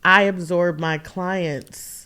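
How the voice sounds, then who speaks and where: Low-voiced animated woman in her forties. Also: slow, clear, resonant tone. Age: 40s. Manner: animated storyteller, laughs often, voices quotes much higher. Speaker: real estate agent, podcast guest. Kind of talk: casual interview chat.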